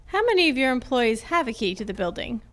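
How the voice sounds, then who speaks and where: A woman's voice: fairly high pitch, very animated, fairly bright tone. A woman acting a sassy, wry female private detective in an old-time radio detective drama. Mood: brisk, wry. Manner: wry, brisk, teasing. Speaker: amateur radio club performer.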